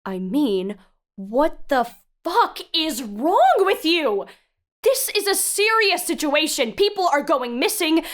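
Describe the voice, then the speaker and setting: A woman's voice: high voice, sing-song, slow pace, heavily acted. A woman acting as an earnest, no-nonsense guide in an audio drama, speaking with urgent, stern emphasis. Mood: outraged, scolding. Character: earnest, no-nonsense woman guiding a reckless youngster.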